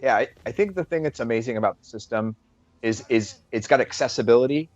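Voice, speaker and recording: A man's voice: medium pitch, quick pace, no echo. A man speaking casually as a game developer guest in an interview. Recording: dull, lo-fi audio.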